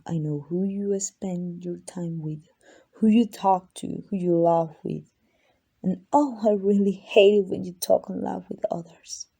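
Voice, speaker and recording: A woman voicing an ancient, arrogant, possessive female vampire in an ASMR roleplay, condescending and teasing, with a breathy voice that dips into whispers. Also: mid-range voice, warm tone. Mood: menacing, jealous. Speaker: amateur voice actor.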